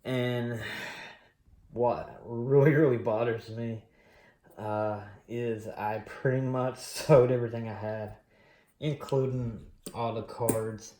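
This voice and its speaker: A man in his late thirties rambles through a personal story for his coin collecting vlog. He talks slowly and loosely, with lots of pauses and fillers. His voice echoes around a small room. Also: mid-range voice, steady tone, warm tone. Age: late 30s. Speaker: coin collecting channel host. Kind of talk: casual personal storytelling while unboxing.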